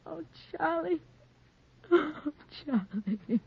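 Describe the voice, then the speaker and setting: Fretful devoted wife, high-pitched melodramatic pleading for vintage radio noir, dull old-broadcast sound. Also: female voice, expressive, extremely slow, vocal fry, loud music behind the voice, heavily acted. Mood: alarmed. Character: anxious, pleading young wife of a reporter. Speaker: actress in radio drama. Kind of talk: 1940s radio noir acting. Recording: muffled 1940s radio recording.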